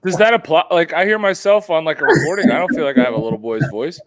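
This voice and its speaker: A man co-hosting a motorcycle channel livestream, animated and jokingly defensive, laughing as he talks. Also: on the high side, quick pace. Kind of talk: casual livestream chat.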